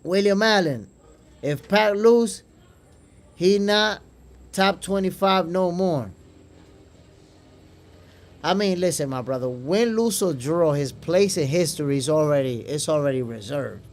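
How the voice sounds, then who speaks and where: Boxing stream host: Dominican New Yorker, friendly and chatty, repeats himself, slightly high voice. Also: male voice, a little slow, pauses often. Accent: New York. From Dominican Republic. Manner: chatty, calls viewers "my brother", repeats words. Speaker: boxing podcast host reading live chat. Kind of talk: casual livestream commentary.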